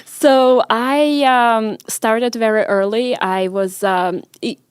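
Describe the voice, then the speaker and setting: A woman in her forties from Russia with a Russian accent, telling her story as an interview guest with many pauses and ums. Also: a little high, fairly even intonation, clear, resonant tone, no echo, studio quality. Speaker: guest, medical researcher. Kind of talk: conversational interview.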